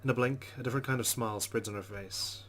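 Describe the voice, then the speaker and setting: A man's voice: mid-range voice, quick pace, a bit bright. A man reading a visual novel's first-person narration aloud as a let's player. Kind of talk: dramatic narration of on-screen game text. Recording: noticeable background noise.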